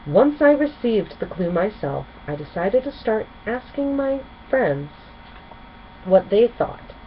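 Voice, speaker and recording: A woman voicing a gentle, reassuring pony princess who mentors others in a storybook. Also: medium pitch, big pitch swings, slow pace, dull audio, slight background noise, voice pushed up. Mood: reminiscing.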